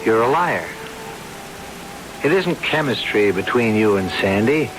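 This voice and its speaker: An actor playing a steady, protective lawyer in an old-time radio drama, earnest, firm and blunt. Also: male voice, lowish voice, a little slow. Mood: blunt accusation. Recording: hissy old radio broadcast.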